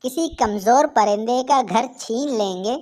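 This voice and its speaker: A woman's voice: a little high, measured pace, warm tone, natural voice. A woman voicing a bossy, scheming crow wife in a cartoon, scolding and commanding.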